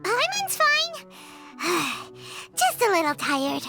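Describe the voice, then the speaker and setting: Tiny game fairy, very high squeaky childlike voice, wildly swooping intonation, perky. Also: female voice, huge pitch swings, very slow, full character voice. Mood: tired. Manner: speaks of herself in the third person, perky. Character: Paimon, tiny chirpy childlike fairy companion, female. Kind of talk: video game voice acting. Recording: loud game music behind.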